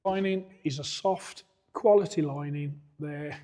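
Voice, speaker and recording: Hoarse man coach reviewing gear. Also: fairly high pitch, steady tone, measured pace, mellow. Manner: methodical, plain-spoken, point by point. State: hoarse, losing his voice. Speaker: boxing coach reviewing equipment. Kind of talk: product review walkthrough.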